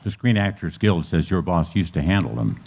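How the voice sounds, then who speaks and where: A man acting a terse, unflappable police officer in an old-style police radio play, speaking in a clipped, polite deadpan. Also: deep voice, a little fast, creaky, husky, exaggerated voice. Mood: deadpan, businesslike. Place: live radio play performance at an event.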